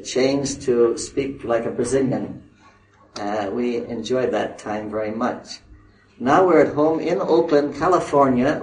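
An older man, a missionary addressing a conference audience in a halting way, full of fillers and restarts. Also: mid-range voice, slight background noise. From Northern Ireland. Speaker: missionary speaker. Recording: roomy hall recording from a distance.

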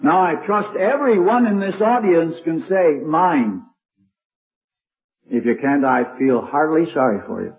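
Older male preacher, slow and fairly high, strong carrying voice, tinny archival sound. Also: expressive. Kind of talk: old-time sermon.

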